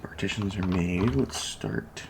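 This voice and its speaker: A soft-spoken ASMR performer in a repair roleplay as a computer technician, murmuring haltingly while absorbed in the task. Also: sits low. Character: unhurried computer technician repairing a robotic client. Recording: loud fan noise, home recording. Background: PC fan, keyboard typing, clicks, creaking chair.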